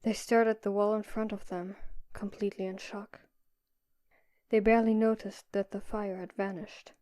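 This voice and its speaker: A woman's voice: medium pitch, level tone, normal speed, warm tone. A woman reading scripted narration for an audio drama. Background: background music.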